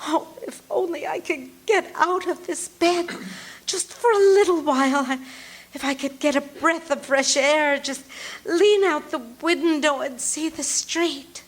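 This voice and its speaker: A woman's voice: sits high, unhurried. A woman acting a frightened bedridden wife in a live radio play, stammering and sobbing. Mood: frantic. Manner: frantic, stammering, breaks into sobs. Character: frightened bedridden wife, middle-aged, anxious and demanding.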